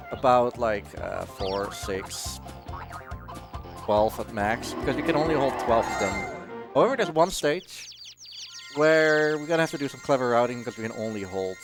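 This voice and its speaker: A man, non-native in English, commentates casually on his own video game run. His pitch moves a lot and he rambles into wry asides, with game music clearly behind him. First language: not English. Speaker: speedrunner. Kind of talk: casual live gameplay commentary.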